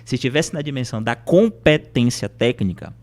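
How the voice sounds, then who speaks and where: A man's voice: medium pitch, animated, normal speed. A Brazilian man speaking as a podcast guest, explaining things in a conversational, motivational way with rhetorical questions and emphatic repetition.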